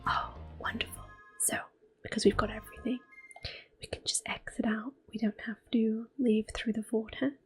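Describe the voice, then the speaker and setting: A woman whispering and speaking softly as she plays through a video game, gentle and a little hesitant, pausing with ums. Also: on the high side, flat delivery, breathy edge. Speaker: ASMR gameplay creator. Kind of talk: soft-spoken ASMR gameplay commentary. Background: faint game music and controller clicks.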